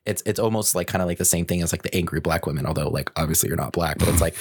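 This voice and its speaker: Male podcast host, very low register, casual and reflective, dry studio-tight sound. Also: very fast, breathy edge, dry recording. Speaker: podcast host and design researcher. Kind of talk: casual conversational chat.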